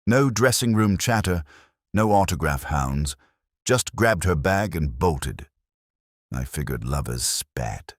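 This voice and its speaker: A man's voice: extremely low pitch, animated, slow pace, dry recording, studio quality. A man narrating a scripted first-person detective story in a wry, hard-boiled manner. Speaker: audiobook narrator.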